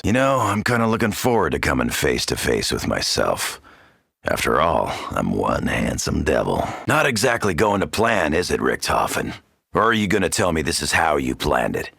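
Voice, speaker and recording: An American man playing a gruff, cocky, wisecracking macho soldier in a zombie video game, with a gravelly voice. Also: a bass voice, fairly bright tone, no room sound, studio quality, heavily acted. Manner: brash, swaggering, wisecracking. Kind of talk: video game character voice lines.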